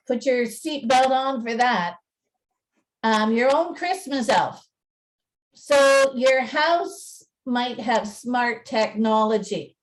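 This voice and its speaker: Recorded a little away from the microphone, a woman gives an informal presentation. Her voice is fairly high and she speaks slowly. She comes across as chatty and self-deprecating. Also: recorded from a distance, low-quality recording. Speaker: museum presenter and radio play narrator. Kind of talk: informal talk with slides and dramatic reading.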